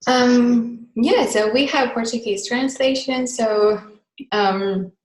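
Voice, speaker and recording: A woman's voice: a little high, slow pace. Woman, first language Finnish, chatty and loose, laughs easily, video call audio, roomy echo. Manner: chatty, laughs often, loose rambling asides. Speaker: class facilitator. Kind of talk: casual live online class talk. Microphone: video call mic.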